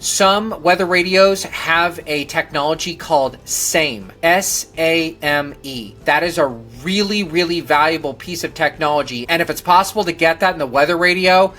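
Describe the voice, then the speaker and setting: Bright-voiced man giving practical advice. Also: fairly high pitch. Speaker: presenter of a disaster preparedness show. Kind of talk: instructional talk to viewers.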